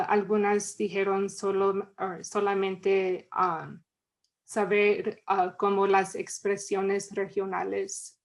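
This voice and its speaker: A woman reports on her survey, talking slowly and in a flat, even tone. She pauses on filler sounds often and slips English phrases into her sentences. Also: medium pitch. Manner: hesitant, many fillers, mixes in English phrases. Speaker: student researcher. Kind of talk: academic discussion.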